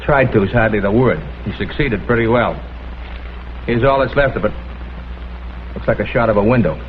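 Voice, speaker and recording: An actor playing a skeptical police lieutenant in a vintage crime drama, talking in a dry, blunt way. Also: male voice, on the low side, moderate pace, natural voice. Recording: tinny old soundtrack with background hiss.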